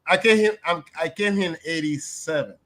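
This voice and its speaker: An older man from Haiti, a guest on a video call, speaking in a measured, reflective way with many fillers. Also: fairly high pitch, a bit bright. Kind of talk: casual interview talk.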